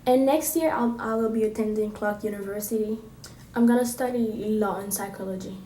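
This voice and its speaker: A young woman from Haiti introducing herself on video in a steady, plain way. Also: medium pitch, brisk, echoey room, a little hiss or hum. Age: young adult. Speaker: graduating senior.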